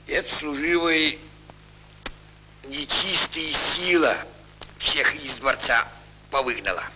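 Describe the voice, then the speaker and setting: An actor playing an old beggar in a 1940s fairy-tale cartoon, telling things in a folksy, ominous way. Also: male voice, a little high, expressive, slow, bright, forceful tone, slight background noise, exaggerated voice, rough recording. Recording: old 1940s film soundtrack with music.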